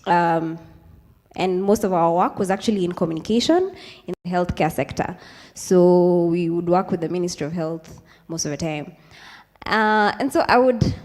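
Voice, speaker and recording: A woman's voice: mid-range voice, a little mellow. A young Kenyan woman in her twenties, speaking to a live audience in a chatty, casual way, mixing in Swahili phrases and plenty of ums. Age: 20s. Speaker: speaker at a youth event. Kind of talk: informal talk with slides to a live audience. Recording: hall with long reverb.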